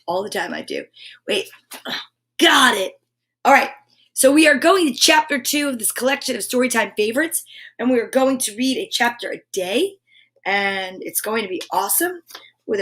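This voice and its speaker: A woman's voice: on the high side, fairly bright tone. A woman hosting a live children's story show, effusive and encouraging, chatting with playful asides and reading aloud.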